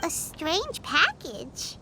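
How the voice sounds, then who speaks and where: A woman voicing a tiny, excitable, curious fairy girl companion in a video game, with a squeaky childlike voice. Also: drawn-out words, slight background noise, heavily acted. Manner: exclaims, perky and inquisitive. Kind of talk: video game character acting.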